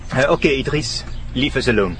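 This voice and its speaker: An actor playing a suave, wealthy, effusive husband with a French accent in a radio drama. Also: male voice, mid-range voice, lively intonation, normal speed, noisy background, natural voice. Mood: affable, defensive. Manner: effusive, peppering talk with French. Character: suave wealthy French-speaking husband, genial, affected host.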